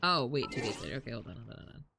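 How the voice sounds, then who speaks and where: A woman's voice: very deep voice, sing-song, racing, creaky, noisy background. A woman reacting casually to a game on a live stream, chatty, blunt and sweary. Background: game music.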